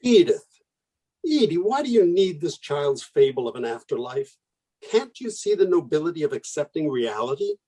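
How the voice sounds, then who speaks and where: An actor plays a young male intellectual in a radio play, skeptical yet idealistic. His voice is dark and soft, with a husky edge. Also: a little high, sing-song, moderate pace. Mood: pleading.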